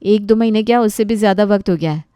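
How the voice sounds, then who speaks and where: A woman's voice: mid-range voice, fast talker, dark tone, clean tone, dry recording, studio quality, close to an everyday voice. A woman playing a practical, exasperated young housewife who protests in a dramatized family story.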